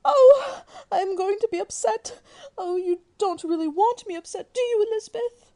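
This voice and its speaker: Lady acting a bossy melodramatic mom in a radio play, super high mellow voice, self-pitying. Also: moderate pace. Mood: wailing, self-pitying. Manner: imperious, wailing, self-pitying. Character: fretful, domineering middle-aged mother, melodramatic and matchmaking. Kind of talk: theatrical radio drama acting.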